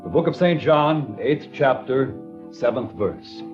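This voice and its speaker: An actor playing an earnest small-town preacher in a 1950s radio drama, reading scripture in a measured, solemn way. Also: male voice, mid-range voice, lively intonation, over music. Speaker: reverend. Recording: dull old radio transcription.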